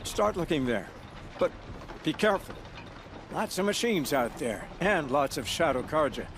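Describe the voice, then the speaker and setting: An actor playing a wry, grudging ally who gives directions and warnings in a video game. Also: male voice, fairly high pitch, big pitch swings, normal speed, background noise. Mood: grudging, cautioning. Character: grudging, cautionary male ally giving directions. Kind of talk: cinematic video game dialogue.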